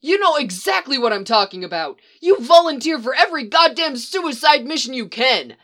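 A woman doing a reckless young male agent who shouts and curses through his anger, the voice loud, bright and sharp-edged. The tone stays bitter and raw, reading from a storybook. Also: medium pitch, sing-song, moderate pace. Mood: furious.

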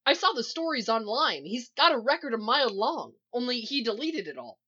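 A woman acting an excitable, incredulous young man in a story, protesting. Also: on the high side, fairly quick, husky, lo-fi sound, pitched above the performer's own voice, heavily acted.

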